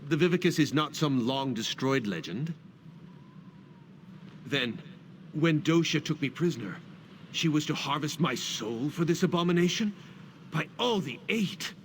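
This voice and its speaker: An actor playing a devout, stalwart guild warrior in a fantasy video game, with formal, declamatory phrasing. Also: male voice, on the high side, animated, a little slow, pauses often, slight background noise. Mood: shocked. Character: devout male guild warrior, hearty, stalwart and duty-bound.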